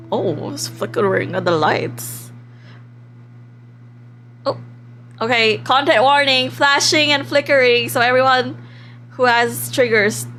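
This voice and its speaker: A woman live streaming a game in a casual, excitable, giggly way, reading text aloud and reacting expressively as she goes. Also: on the high side. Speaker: livestream host.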